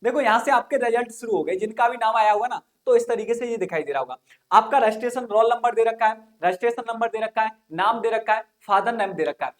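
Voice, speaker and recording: A man's voice: extremely high pitch, quick pace. A man teaching an informal online exam-coaching lesson, explaining things repetitively and often checking that listeners follow. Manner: repetitive, frequent check-in questions.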